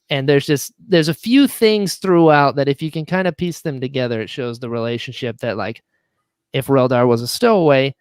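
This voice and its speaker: A man chatting casually as a podcast guest and drama writer, telling stories and acting out quoted lines in an animated way. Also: mid-range voice, clear, resonant tone, no room sound, studio quality. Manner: animated storyteller who acts out quoted lines. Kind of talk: casual chat.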